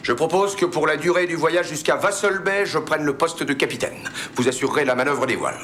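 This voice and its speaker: An actor playing a shrewd, courteous British expedition leader in a French film drama, formal, clipped and authoritative. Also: male voice, a little high, brisk, fairly bright tone, a little hiss or hum, natural voice. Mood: commanding.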